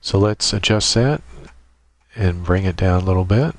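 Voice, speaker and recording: Low-voiced man giving a software tutorial. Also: normal speed, dry recording. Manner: methodical, explanatory, thinking aloud while demonstrating. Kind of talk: informal step-by-step screen tutorial narration.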